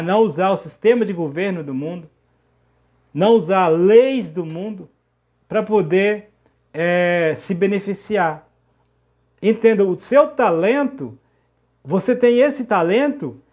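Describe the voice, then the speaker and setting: A man's voice: high voice, a little mellow. A Brazilian man giving an informal sermon as a preacher, teaching didactically, repeating phrases for emphasis and often checking in with the listener.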